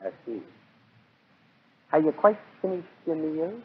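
An actor playing a smug, genteel and sinister villain in a 1940s radio drama, with mock-courteous, gloating formality. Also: male voice, fairly high pitch, very animated, a little slow, breathy edge, heavily acted. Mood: oily politeness. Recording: noisy, tinny 1940s radio recording.

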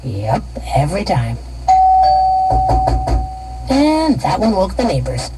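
A woman's voice: on the low side, wildly swooping pitch, slow pace, heavily acted. A woman playing a bossy, urgent woman at home in a comic radio ad. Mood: exasperated. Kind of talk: radio ad comedy acting. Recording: loud music, recorded off car radio.

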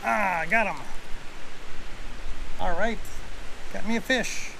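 A man wading in a river calls out eagerly in a fairly high, gravelly voice. He talks to himself and to the fish as he reels it in. He is caught from a distance on the camera, with clear stream noise behind him. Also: takes it slowly, bright, forceful tone. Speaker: angler. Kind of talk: excited remarks while fishing.

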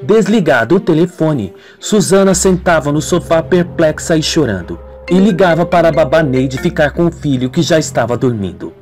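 A man's voice: a little high, expressive, moderate pace, darkish tone. A man narrating a scripted radio soap opera as a dramatic storyteller. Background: music.

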